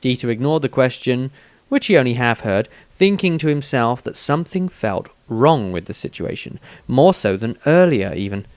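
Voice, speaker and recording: A man reading a fantasy story aloud in steady, measured scripted narration. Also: mid-range voice, no room sound. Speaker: audiobook reader.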